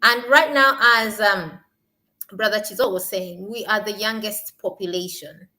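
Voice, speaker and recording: A young woman from Kenya with a Kenyan accent, speaking at a panel in an emphatic way that rises to forceful peaks on her key points. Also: mid-range voice, unhurried. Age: young adult. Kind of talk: impassioned panel talk.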